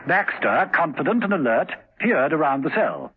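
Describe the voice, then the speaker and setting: A man's voice: on the high side, big pitch swings, normal speed, slightly rough. A man narrating a spy thriller dramatically in scripted radio drama, on a thin, phone-like old recording. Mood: dramatic, suspenseful.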